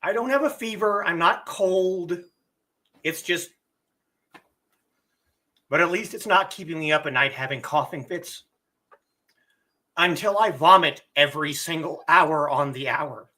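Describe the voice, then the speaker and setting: Bright-voiced man with a lingering cough. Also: a little high, unhurried, long pauses. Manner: relaxed, chatty, answers chat questions. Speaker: live stream host teaching language tones. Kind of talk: casual explanatory live stream.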